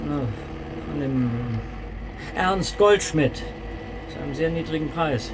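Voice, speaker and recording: A man's voice: on the high side, slow pace, noisy background. An actor playing a chatty male guest who recounts rumors at a dinner. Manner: discursive, gossip-telling. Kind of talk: radio play acting.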